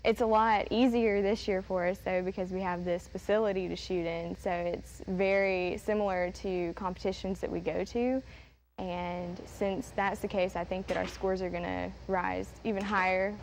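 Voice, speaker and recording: A woman athlete answering an interview in a relaxed, matter-of-fact way. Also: mid-range voice, moderate pace, slight background noise, poor audio. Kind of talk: interview soundbite.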